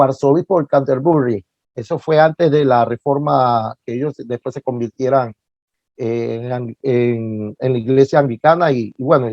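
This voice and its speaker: A man giving an informal religious lecture as a catechist, speaking haltingly, repeating words for emphasis, with folksy asides. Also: fairly high pitch, mellow, no echo. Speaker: catechist giving a virtual talk. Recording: dull lo-fi online-talk audio.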